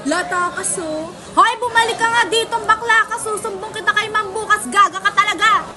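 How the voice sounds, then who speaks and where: A woman's voice: very high-pitched, fairly even intonation, normal speed. A young Filipino woman playing an exasperated, bantering teenage schoolgirl in an amateur radio drama, slangy and scolding. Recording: crowd chatter behind the voice.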